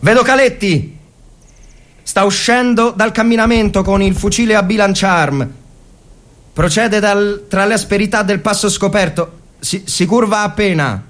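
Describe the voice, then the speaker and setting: A man's voice: sits high, measured pace, a bit bright, voice pushed up. Man acting a tense, commanding young army lieutenant. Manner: barks orders, then broods.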